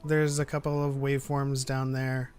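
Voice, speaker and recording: A man hosting a live stream, chatting casually and reading out comments in a halting, easygoing way. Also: medium pitch, completely flat delivery, darkish tone, smooth. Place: online group live stream. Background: background music.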